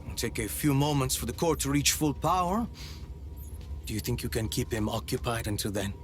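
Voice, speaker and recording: A smug villain mastermind, as an actor plays him, purring orders with a lively, swinging inflection. He sounds polished and condescending, a game antagonist who enjoys his power. Soft music runs beneath him. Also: medium pitch, brisk, lightly acted. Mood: scheming. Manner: smooth, condescending, coolly menacing. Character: scheming male villain mastermind. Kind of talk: video game cutscene acting.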